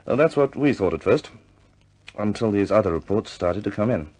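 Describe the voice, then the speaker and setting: An actor playing a grave, businesslike senior British air force officer, briefing in a deliberate, measured way in 1950s war film style. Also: male voice, lowish voice, expressive, a little mellow.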